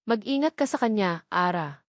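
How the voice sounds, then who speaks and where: A woman's voice: mid-range voice, lively intonation, moderate pace, no echo, studio quality, natural voice. A woman narrator voicing a worried, caring working-class man who speaks seriously and directly in a storybook romance. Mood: grave, warning. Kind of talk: storybook character dialogue.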